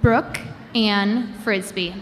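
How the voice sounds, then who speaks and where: A woman reading graduates' names one by one at a commencement ceremony, announcing each name with a measured pause through a podium microphone. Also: mid-range voice, fairly even intonation. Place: large indoor gymnasium. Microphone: podium microphone over a PA system. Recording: long hall reverb with crowd noise. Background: crowd ambience.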